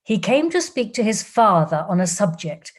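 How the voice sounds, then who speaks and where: A woman reading an audiobook, voicing an anxious, gentle older woman who breaks startling news in a loud, trembling voice. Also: medium pitch, big pitch swings, normal speed. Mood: urgent.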